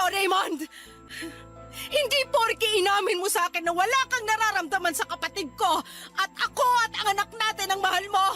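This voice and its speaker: A woman's voice: extremely high pitch, pushed and bright. A woman playing a furious betrayed wife and older sister in a radio drama, scolding and confrontational. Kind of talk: Tagalog radio drama acting.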